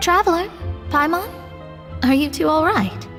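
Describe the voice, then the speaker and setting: Earnest young astrologer, high-voiced. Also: female voice, animated, a little slow, in a large hall, music in the background, full character voice. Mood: thoughtful. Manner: articulate, formal, thoughtful. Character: earnest, scholarly young female astrologer, gently hopeful. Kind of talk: anime-style video game voice acting.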